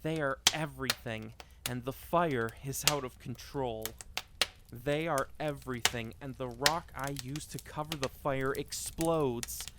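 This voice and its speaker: A man performing a dramatic monologue reading in a deliberate, repeating cadence with weighted pauses. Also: mid-range voice, very noisy. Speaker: monologue reader.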